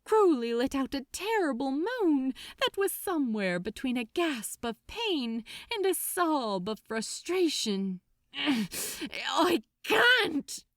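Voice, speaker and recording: A woman reading a story aloud as a dramatic audiobook narrator, theatrical and deliberate, drawing out key words. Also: sits high, very animated, no echo, studio quality. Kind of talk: dramatic scripted fiction narration.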